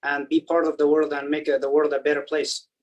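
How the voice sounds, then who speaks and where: A man's voice: fairly high pitch, monotone, brisk, a little mellow, far from the mic, dull audio. A young man from Afghanistan telling his story in a loose, casual way, full of "you know" fillers. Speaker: athlete guest. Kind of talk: casual interview answers.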